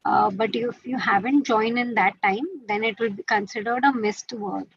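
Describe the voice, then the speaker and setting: A woman's voice: fairly high pitch, fairly even intonation, moderate pace, creaky, slightly rough, no echo, highs cut off. A woman with an Indian accent explaining quiz rules to students on a webinar, mixing Hindi into English with many fillers. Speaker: quiz organizer teaching students. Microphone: video call.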